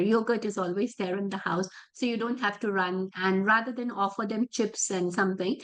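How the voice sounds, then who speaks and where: Hoarse woman presenting a cooking class. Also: mid-range voice, rough recording. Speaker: cooking consultant.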